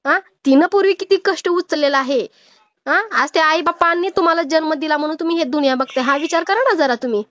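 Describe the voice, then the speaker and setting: A woman with a Marathi accent speaks in a high voice on a dull, dry phone recording. She admonishes and counsels the listener. Her manner is insistent and moralising, full of pointed rhetorical questions. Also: fast, fairly bright tone, dry recording. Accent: Marathi, Maharashtra. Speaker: old-age home worker taking a call. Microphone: phone call. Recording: muffled lo-fi phone call recording.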